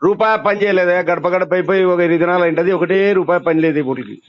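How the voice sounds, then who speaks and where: A man's voice: high voice, racing, dry recording. A man with a Rayalaseema Telugu accent speaking colloquially and emphatically on a phone call, complaining candidly in rural style. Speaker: local party leader being surveyed by phone. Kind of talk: candid phone conversation, airing grievances. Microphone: telephone. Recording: muffled lo-fi leaked phone call.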